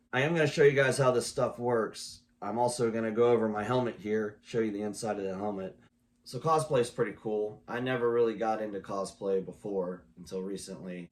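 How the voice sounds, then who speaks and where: A man's voice: on the low side, darkish tone. A man speaking casually and plainly to viewers as he hosts a hobby video walkthrough.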